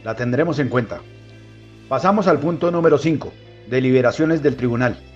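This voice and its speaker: Male actor as officious tribunal priest, ceremonious, formal, medium-high voice, muffled lo-fi recording, faint music. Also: fairly quick, darkish tone, natural voice. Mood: cold, formal. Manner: ceremonious, procedural announcing. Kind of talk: audio drama acting. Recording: dull lo-fi sound, faint music.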